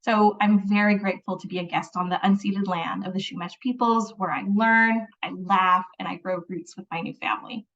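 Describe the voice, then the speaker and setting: A woman's voice: medium pitch, fairly even intonation, normal speed, hardly any pauses, a bit bright. A woman hosting a webinar over a video call, giving an earnest scripted welcome and land acknowledgement with light laughs. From Philippines. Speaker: webinar host. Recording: distant, dull lo-fi call audio.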